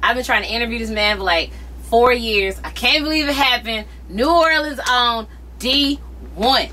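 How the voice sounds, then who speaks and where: A woman's voice: fairly high pitch, normal speed, pushed and bright, slight background noise. A woman radio host interviewing a rapper on a tour bus, bubbly and teasing in a casual chat.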